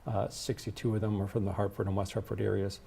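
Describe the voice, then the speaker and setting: A man speaking as an expert interview guest, explaining and advocating in measured, deliberate phrases. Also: on the low side, flat delivery, vocal fry, dark tone, slightly rough. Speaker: interview guest, healthcare executive.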